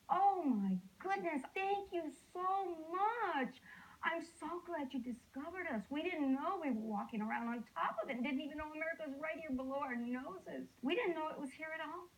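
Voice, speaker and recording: A woman's voice: sits high, highs cut off. A woman speaking emphatically in an interview, mocking the idea she argues against. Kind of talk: opinionated interview talk.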